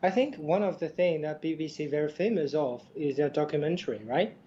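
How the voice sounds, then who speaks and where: A man's voice: a little high, a little fast, dark tone, dull audio. A man whose first language is Chinese co-hosts a podcast, speaking English hesitantly with many fillers. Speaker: podcast co-host. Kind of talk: casual podcast chat.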